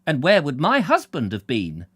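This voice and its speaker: A man voicing a sharp, protective middle-aged mother who demands answers. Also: fairly high pitch, huge pitch swings, normal speed, husky, pitched above the performer's own voice, heavily acted.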